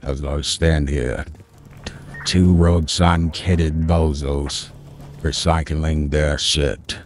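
A man voicing a solemn documentary narrator, ominous and deadpan, through a voice changer. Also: very deep voice, drawn-out words, a little hiss or hum, pitched below the performer's own voice, exaggerated voice. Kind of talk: mock-dramatic narration over gameplay.

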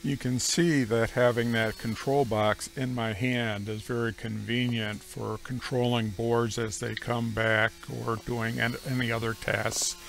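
A middle-aged man narrating how he runs his sawmill, speaking slowly and plainly with frequent pauses. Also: mid-range voice, flat delivery, gravelly, fairly bright tone. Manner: slow, measured, plain-spoken with frequent "uh" pauses. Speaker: homestead sawmill operator. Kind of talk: voice-over explaining sawmill operation.

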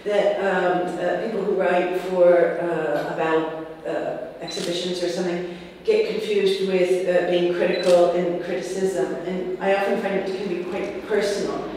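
A woman in her forties on an art panel, talking in a rambling, animated way with many fillers. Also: on the low side, measured pace, hardly any pauses. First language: not Czech. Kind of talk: panel discussion. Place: room with curtains, seated on sofa. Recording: distant mic, echoey hall, noisy.

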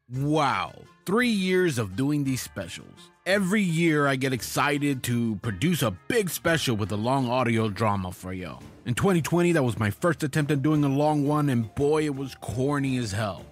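A man's voice: medium pitch, animated, moderate pace. A man hosting a podcast with an enthusiastic, informal and chatty delivery. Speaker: podcast host and producer. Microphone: recorded at home, voice-over. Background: music.

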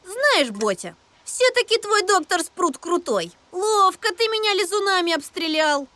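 A woman voicing an excitable, chirpy small childlike backpack creature in a cartoon. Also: very high-pitched, unhurried, full character voice. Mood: cheerful. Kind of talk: animated cartoon acting. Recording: loud music behind the voice.

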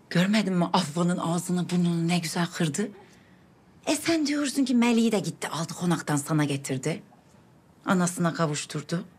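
An actress playing a brash, scheming older sister in a village house in a TV drama, speaking. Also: female voice, lowish voice, darkish tone, lightly acted. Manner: bossy, scolding, chatty. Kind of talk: TV drama acting. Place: indoor village house room. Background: music.

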